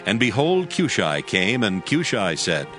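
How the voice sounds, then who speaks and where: Male narrator, lowish and lively, steady grave reading. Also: measured pace. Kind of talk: dramatized scripture narration. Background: music.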